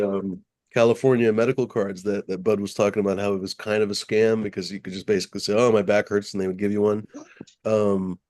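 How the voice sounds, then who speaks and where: A man's voice: lowish voice, warm tone. A man hosting a casual podcast chat, rambling through stories with loose swearing.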